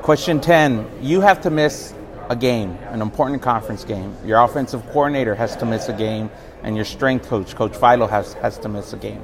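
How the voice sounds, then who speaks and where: A man from San Antonio who hosts a podcast, reading his questions briskly and affirming answers with quick yeahs. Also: medium pitch, crowd noise. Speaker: podcast host.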